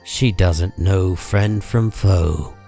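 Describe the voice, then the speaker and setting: A man narrating a comic book drama from a script in a grave, deliberate manner. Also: deep voice, creaky, dark tone, faint music behind.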